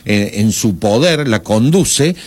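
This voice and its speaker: A man's voice: on the low side, expressive, a little mellow, dry recording. Dry-sounding man explaining rules formally. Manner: methodical, hesitant pauses, formal wording. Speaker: refereeing analyst. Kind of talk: explaining rules on live sports radio.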